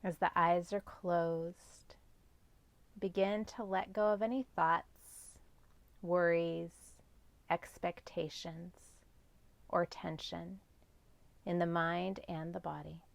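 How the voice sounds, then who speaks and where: A woman guiding a meditation as a yoga nidra teacher, speaking in gentle, measured instructions with long pauses. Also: fairly low pitch, fairly even intonation, vocal fry, no echo. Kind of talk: guided meditation.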